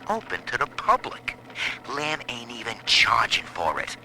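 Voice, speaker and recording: Here is a shifty, jittery informant in a video game. His lowish voice swings wildly in pitch as he talks in sardonic, colloquial asides at an unhurried pace. Clear noise runs through the audio log. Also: wildly swooping pitch, pushed and bright, exaggerated voice. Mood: sly, uneasy. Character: sneaky, jittery, gossiping male informant. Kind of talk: dramatic audio-diary monologue. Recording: noisy old audio-log tape.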